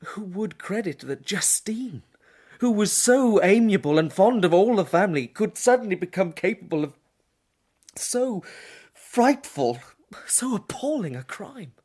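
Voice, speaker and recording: A man's voice: high voice, very animated, unhurried, darkish tone, breathy edge, exaggerated voice. A man playing a sorrowful, earnest younger brother with formal phrasing in a dramatised audiobook.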